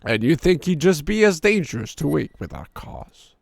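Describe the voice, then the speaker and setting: Hoarse gravelly man in his thirties. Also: huge pitch swings, moderate pace, dry recording, studio quality. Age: 30s. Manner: drops into a deep storyteller voice for the narration. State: hoarse, sore throat. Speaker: streamer. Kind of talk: live game playthrough, reading story text aloud.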